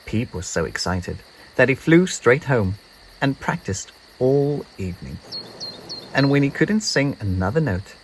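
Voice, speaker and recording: A man reading a children's story aloud in an expressive, scripted narration style. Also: medium pitch, measured pace, slight background noise, poor audio. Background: birdsong and insect sounds.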